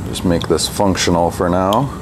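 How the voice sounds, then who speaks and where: A man working on a bike in his workshop, talking casually through each step with a relaxed, chatty manner. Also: low voice, normal speed. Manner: relaxed, chatty, thinks aloud with fillers. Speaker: bike mechanic and channel host. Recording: workshop noise behind the voice.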